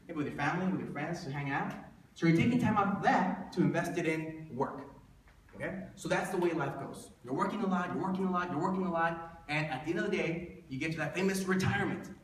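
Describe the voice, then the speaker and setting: Echoey, higher-pitched man presenting motivationally. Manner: conversational, rhetorical questions to listeners, repeats for emphasis. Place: indoor room before an audience. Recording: echoey room, distant mic, faint noise.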